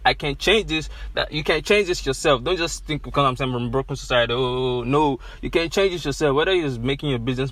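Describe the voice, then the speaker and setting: A man's voice: medium pitch, fairly bright tone, dry recording. A young Nigerian man hosting his own podcast, speaking earnestly and with growing passion, recorded inside a car. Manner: earnest, repetitive, rising to passionate emphasis. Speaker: podcast host. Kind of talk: solo podcast monologue.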